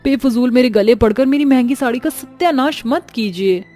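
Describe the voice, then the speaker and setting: A woman's voice: high-pitched, moderate pace, warm tone, lightly acted. A woman playing a haughty, ungrateful young daughter with a snappish, showy manner in a storybook drama. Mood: irritated, disdainful. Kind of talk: audio storybook drama acting. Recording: music under the voice.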